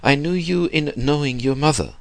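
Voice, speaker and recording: A man voicing a lonely old gentleman in a storybook, effusive and cajoling, with theatrical pleading. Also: fairly low pitch, sing-song, unhurried, no room sound, pitched above the performer's own voice, heavily acted. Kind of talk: storybook character dialogue.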